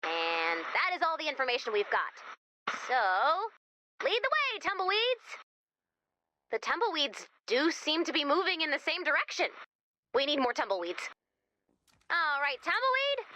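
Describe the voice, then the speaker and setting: A woman's voice: sits high, sing-song, a little slow, long pauses, bright, forceful tone, dry recording, full character voice. A woman voicing a quirky, wisecracking young American guide character in a video game, with a chatty, theatrical manner. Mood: playful encouragement. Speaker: mission guide character addressing the player commander.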